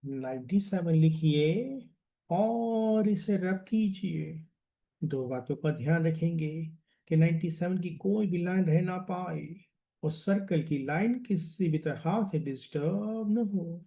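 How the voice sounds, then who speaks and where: A man leads a guided relaxation as a meditation coach, soothing and deliberate, repeating phrases and letting them trail off. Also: fairly high pitch, creaky, dark tone.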